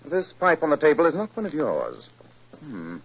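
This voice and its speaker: An actor playing a cool, sardonic British gentleman detective with precise, pompous diction, in 1940s radio acting style. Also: fairly high pitch, wildly swooping pitch, normal speed, gravelly, husky, full character voice. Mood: smugly deductive. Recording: muffled, noisy, crackly old radio recording.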